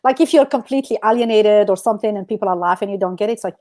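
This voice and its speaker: A middle-aged woman from Algeria, a native Amazigh speaker, co-hosting a casual live chat and telling things in an animated way. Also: medium pitch, very fast. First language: Amazigh (Berber). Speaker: co-host.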